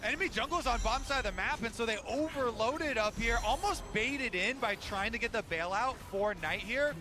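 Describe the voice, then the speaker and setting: A man's voice: very high-pitched, a little fast, background noise. A man giving live colour commentary on an esports match, explaining team decisions and plays.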